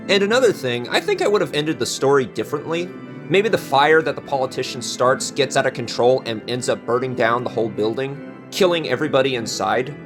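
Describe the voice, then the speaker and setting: A man hosting a show, giving casual review commentary to his viewers. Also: medium pitch. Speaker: host and reviewer. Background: music.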